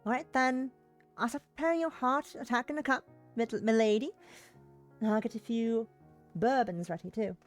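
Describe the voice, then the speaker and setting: A woman's voice: fairly high pitch, moderate pace, soft background music, close to an everyday voice. A woman voicing a visual novel heroine, a polite, playfully chiding young woman. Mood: teasing, grateful.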